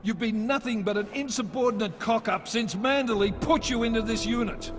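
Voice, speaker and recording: An actor playing a blunt, exasperated police unit commander in a video game, with a stern manner. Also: male voice, very high-pitched, a little fast, long reverb tail. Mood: angry. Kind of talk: cinematic video game acting. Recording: game soundtrack music behind.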